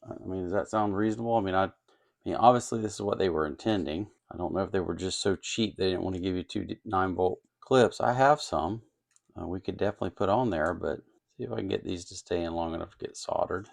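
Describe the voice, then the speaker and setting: A man with a fairly low voice and a strongly creaky, gravelly edge talks through a hands-on task. His delivery is casual and unscripted, as if thinking aloud. He muses and hesitates as he goes. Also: fast. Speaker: host of an electronics kit-building channel. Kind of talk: casual, unscripted workbench narration.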